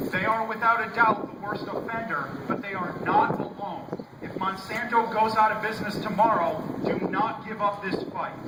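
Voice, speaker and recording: An American man, a state representative with a loud voice, giving a prepared speech into a microphone at an outdoor rally. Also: high-pitched. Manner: loud-voiced, conversational with hesitations at first. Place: outdoors at a rainy-day rally. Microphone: public-address microphone, recorded from a distance. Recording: echoey outdoor PA, distant, heavy noise.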